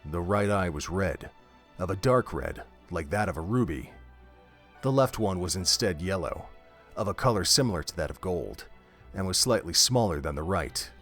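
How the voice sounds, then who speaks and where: A man's voice: low-pitched, lively intonation, moderate pace, fairly bright tone, faint music behind. A man reading scripted narration for an audio drama, telling the story in prose.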